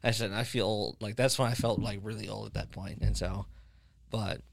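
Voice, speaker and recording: A man's voice: fairly low pitch, fairly even intonation, moderate pace, creaky, dry recording, studio quality. A man, hosting a casual podcast chat and rambling with many restarts. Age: 20s. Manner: rambling, restarts often, laughs easily. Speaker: podcast host.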